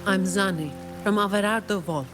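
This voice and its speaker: A woman voicing a dutiful young female employee and fighter in a video game, businesslike and measured. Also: medium pitch, music in the background, natural voice. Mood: formal, composed.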